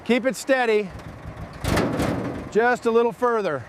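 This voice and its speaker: A man's voice: extremely high pitch, measured pace, noisy background. A man giving hands-on workshop commentary as a vehicle restorer, in short instructional remarks to helpers.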